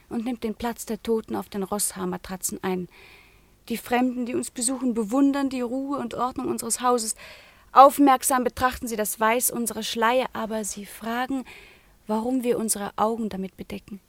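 Intense proud teen convent girl, highish voice, declaiming then hushed, old-school radio drama, bone dry sound. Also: normal speed, no room sound. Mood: earnest, melancholy. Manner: intense, declamatory, often dropping to a hushed whisper. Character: proud, melancholy eighteen-year-old convent-schooled girl, passionate and bitter. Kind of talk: classical German radio drama acting.

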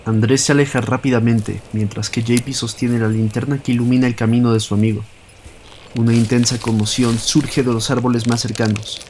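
A man's voice: mid-range voice, flat delivery, fairly quick, a little mellow, a little hiss or hum. A man with a Mexican accent reading scripted narration for an audio story.

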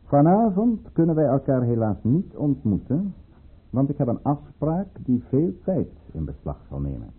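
A man's voice: mid-range voice, sing-song, normal speed, breathy edge, exaggerated voice. A man acting in a Dutch radio drama as a scheming governor at the tsarist court, speaking in a formal, coldly commanding way. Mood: suave, mocking. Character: scheming, menacing male governor and aristocratic lover at tsarist court. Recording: muffled, tinny old radio play recording.

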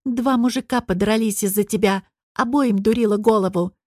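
A woman's voice: mid-range voice, normal speed, darkish tone, dry recording, studio quality, close to an everyday voice. A woman voicing an angry, accusing, near-hysterical young woman in audiobook dialogue, scolding in exclamations.

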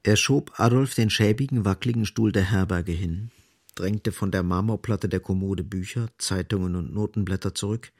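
A man's voice: low voice, normal speed, no room sound, studio quality. A man reading literary scripted narration as the narrator of a radio drama.

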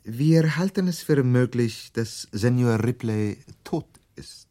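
An actor playing an older Italian police officer, polite and probing, in a radio drama. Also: male voice, mid-range voice, lively intonation, slow, dark tone. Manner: inquisitive, formal.

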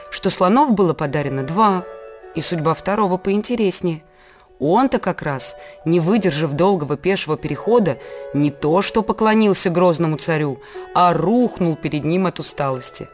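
A patient mother, played by a woman, speaks in a scripted children's programme with music behind her. Her delivery is measured and explanatory, like storytelling with a lesson in it. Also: lowish voice, natural voice. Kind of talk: scripted educational narration for children.

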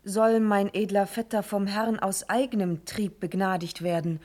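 An actress playing a noble young princess in a classical radio drama, speaking solemn, declamatory verse with passion and pride. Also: female voice, mid-range voice, fairly even intonation, normal speed, a little mellow, lightly acted. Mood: grave, resolved.

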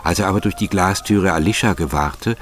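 A man's voice: sits low, brisk. A man reading scripted literary narration in German as the narrator of a story.

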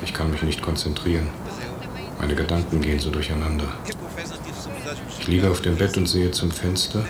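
An actor playing a lonely, despairing prisoner voicing his letter in a radio drama, flat and withdrawn. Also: extremely low pitch, moderate pace, long pauses. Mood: despairing, numb. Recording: very noisy cassette, echoey room.

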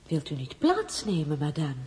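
A woman's voice: sits low, huge pitch swings, normal speed, warm tone. An actress in a radio drama playing a formal, gracious queen with a stately manner. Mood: stately, courteous.

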